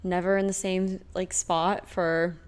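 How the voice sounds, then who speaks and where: A woman's voice: fairly low pitch, level tone, a little slow, clean tone. A young woman from Nebraska, a podcast guest, chatting casually and rambling with lots of fillers. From Omaha, Nebraska, United States. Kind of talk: casual chat.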